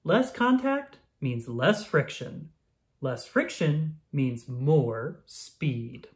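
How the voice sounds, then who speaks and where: A narrator reading a children's science book aloud in a clear, expressive storytelling manner for young children. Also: mid-range voice, drawn-out words.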